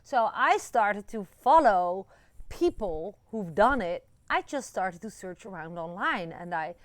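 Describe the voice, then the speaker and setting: Dutch lady in her 40s talking to her phone out in the yard, mid pitch, lots of ums, upbeat and encouraging. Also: very animated, slow, no echo. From Netherlands. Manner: encouraging, chatty, many fillers and restarts. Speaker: vlogger. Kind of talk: casual talk to camera. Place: outdoors in a rural yard. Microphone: phone camera at arm's length.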